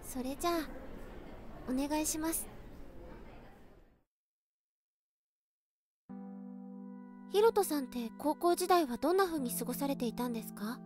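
A woman playing a high-pitched, polite schoolgirl. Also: expressive, fairly quick, soft background music. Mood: modest, hesitant. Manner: polite, soft-spoken formal phrasing. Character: polite, earnest teenage high school girl. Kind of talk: amateur audio drama acting.

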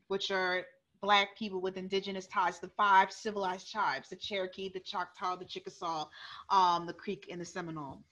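Bright-voiced young woman on a video call. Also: medium pitch, muffled recording. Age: young adult. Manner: animated, gesturing, many restarts. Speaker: panelist author. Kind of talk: storytelling on a panel. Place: living room at home. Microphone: earphone mic on video call.

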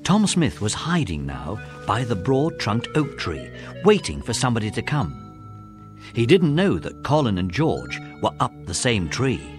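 A man narrates a children's adventure story. His medium pitch moves with a lot of expression, and faint music sits in the recording. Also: huge pitch swings, unhurried.

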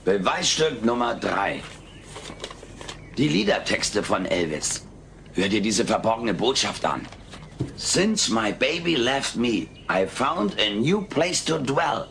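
An actor playing a brash, scheming furry alien houseguest in a sitcom audio drama, glib and theatrical. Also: male voice, medium pitch, very animated, takes it slowly, gravelly, fairly bright tone, heavily acted. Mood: triumphant. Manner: glib, theatrical, mock-innocent. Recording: noisy old cassette audio drama.

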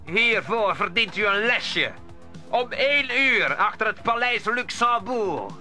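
An actor playing a blustering, easily offended musketeer in an audio drama. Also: male voice, high-pitched, expressive, slow pace, sharp tone, faint music behind, heavily acted. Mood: indignant.

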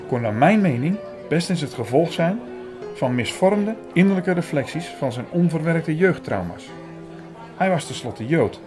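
A man's voice: mid-range voice, natural voice. An actor playing a sceptical, irritated man who narrates his own story in an audio drama. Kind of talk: first-person audio drama narration and dialogue. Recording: music and crowd murmur behind.

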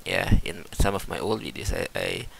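A man's voice: sits low, wildly swooping pitch, normal speed, vocal fry, heavy background noise. A young Swedish man with a Swedish accent, talking casually and hesitantly through a game mod walkthrough, with many fillers and restarts. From Sweden. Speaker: gaming channel host.

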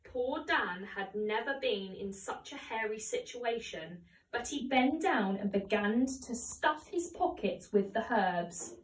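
A young woman, a teacher, reading a children's story aloud in a measured, expressive storytelling manner. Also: a little high. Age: young adult. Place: home conservatory, indoors. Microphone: camera a few metres away. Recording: roomy echo, distant microphone.

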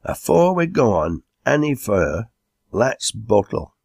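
A man's voice: on the low side, very animated, a little slow, creaky, darkish tone, no room sound, studio quality, voice pushed up, exaggerated voice. A man voicing a reluctant, easygoing old fellow with a Lancashire accent, rambling through homely speeches in a storybook. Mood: convivial.

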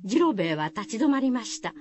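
A woman storyteller giving an expressive, dramatic scripted narration of a folk tale for radio. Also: fairly high pitch, fairly quick, background noise.

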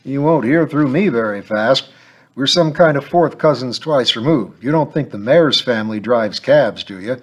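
A man's voice: medium pitch, expressive, voice pushed up, close to an everyday voice. A man doing a blunt cabbie. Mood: chatty, brusque. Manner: blunt, brisk, dropped g's. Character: gruff working-class London cab driver, middle-aged man, blunt and chatty. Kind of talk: audiobook character voice.

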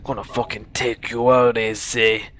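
A man's voice: mid-range voice, level tone, a little slow, a bit bright, lightly acted. A young man gaming who puts on the strained voice of a swaggering, tough-talking street gangster, tagging his lines with slang. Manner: strained put-on voice, repeated street-slang tags. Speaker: gamer. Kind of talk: gameplay commentary in a put-on character voice.

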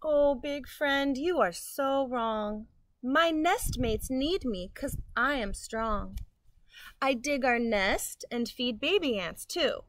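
A woman's voice: high-pitched, lively intonation, slow, no room sound, poor audio. A young woman reading the part of a tiny, earnest, plucky ant in a storybook, pleading with careful emphasis. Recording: outdoor ambient noise.

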